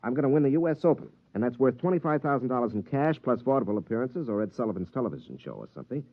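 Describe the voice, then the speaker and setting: An actor playing a proud, intense champion golfer under pressure in a 1950s radio drama, with an emphatic, dramatic delivery. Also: male voice, mid-range voice, expressive, rapid-fire. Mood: determined. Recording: tinny, narrow old radio recording.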